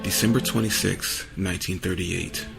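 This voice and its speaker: A man with a low voice and a heavy creak hosts a podcast. He speaks in a casual introductory style, with music clearly playing behind him. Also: fairly even intonation, moderate pace. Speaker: podcast host.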